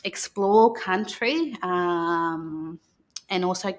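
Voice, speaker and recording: An Australian woman speaking as a guest storyteller, talking and reading a picture book aloud in a gentle manner. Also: lowish voice, very slow. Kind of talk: unscripted talk and picture-book read-aloud.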